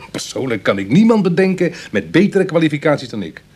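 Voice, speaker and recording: An actor playing a charming, self-mocking ex-burglar in a Dutch radio drama, with a Netherlands accent and a wry, chatty manner. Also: male voice, a little high, very animated, fairly quick, a little mellow, slightly rough. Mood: wry, reflective. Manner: teasing, hesitant asides, chuckling irony.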